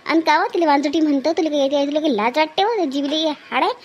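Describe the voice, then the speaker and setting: A woman's voice: high-pitched, quick pace, lo-fi sound, slight background noise, close to an everyday voice. A woman voicing a stern older mother, reproving and insistent, in an amateur cartoon family drama with a Vidarbha Marathi accent. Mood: scolding. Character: stern older mother defending her daughter-in-law.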